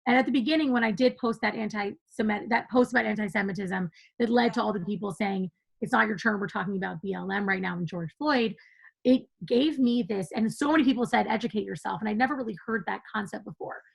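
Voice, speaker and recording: A woman's voice: medium pitch, fast talker, vocal fry, slightly rough, poor audio. A woman guest on a podcast, speaking with passion and emphasis in a conversational interview, laughing now and then.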